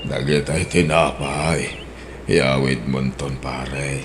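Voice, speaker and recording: An actor playing a generous, gravely ill man in his sixties who speaks weakly and slowly in a radio drama. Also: rumbling low, fairly even intonation, a little hiss or hum. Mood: generous, laughing. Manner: weak and slow, offering gifts cheerfully. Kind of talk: Ilocano radio drama acting.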